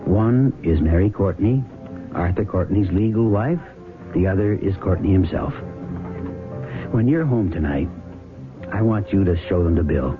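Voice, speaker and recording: Casting: steady, probing small-town sheriff, low voice, measured delivery, tinny old radio drama sound. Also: male voice. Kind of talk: 1970s radio drama acting. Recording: tinny band-limited old radio broadcast, faint music.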